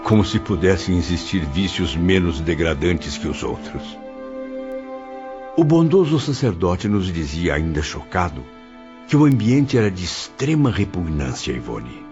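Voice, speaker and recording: Actor as a grave, deep-voiced spirit narrator. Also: male voice, very animated, measured pace. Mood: grave disgust. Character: grave male spirit narrator confiding a grim tale to a medium. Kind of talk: audio drama narration. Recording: dull audio with clear background music.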